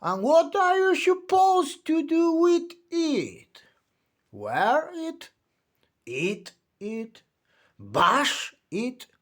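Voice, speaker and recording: Man putting on a high-pitched curious sea creature. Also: very animated, very slow, heavily acted. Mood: curious wonder. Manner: wondering, questioning. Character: curious, puzzled sea creature who steals books.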